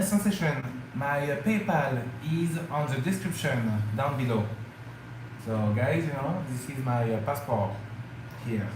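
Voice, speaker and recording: French-accented man chatting in an echoey room. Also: medium pitch. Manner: hesitant, many fillers, addresses viewers as guys. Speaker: travel vlogger. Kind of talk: casual livestream chat with viewers. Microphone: phone or camera mic, live stream. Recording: very echoey room with clear background noise.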